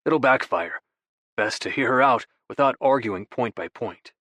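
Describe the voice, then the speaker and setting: A gentle, diplomatic brother who explains things in a calm, measured way, as a male narrator voices him. He stays close to the reader's natural voice. The sound is dry and close. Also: medium pitch, dry recording.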